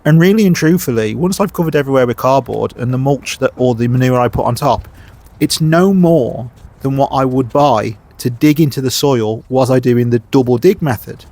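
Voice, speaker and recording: A man's voice: mid-range voice, moderate pace, darkish tone. Sniffly man chatting at his allotment. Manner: chatty, lots of uhs and ums. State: sniffly with a cold. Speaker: gardening channel host. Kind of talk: casual how-to vlog. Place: outdoor allotment by a main road.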